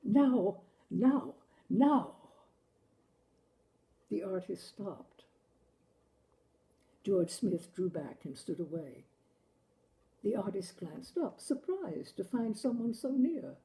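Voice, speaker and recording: Slow, very mellow woman storyteller. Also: medium pitch, very animated. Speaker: story reader, show host. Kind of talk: literary audiobook-style story narration.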